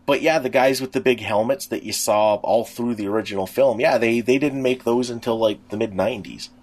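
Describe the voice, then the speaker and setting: A middle-aged man co-hosting a podcast, explaining things casually and a little haltingly as he thinks aloud. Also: mid-range voice, a little mellow. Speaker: podcast co-host.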